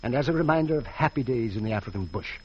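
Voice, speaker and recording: An actor playing a pompous, wealthy and eccentric yacht skipper with formal, genteel phrasing in a 1940s radio drama. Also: male voice, medium pitch, lively intonation, rapid-fire, darkish tone, slightly rough. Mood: genial, fussy. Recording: muffled old radio broadcast.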